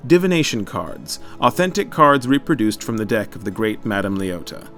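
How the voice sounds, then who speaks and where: A man's voice: fairly low pitch, big pitch swings, normal speed, a bit bright, soft background music. A man reading scripted narration in a formal, theatrical manner.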